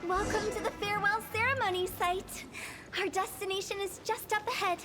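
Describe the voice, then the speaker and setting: A woman's voice: extremely high pitch, steady tone, a little fast, music in the background. A woman playing a playful, eccentric teenage girl funeral director in a video game, with a teasing, chirpy, theatrical delivery. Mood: breezy, showy.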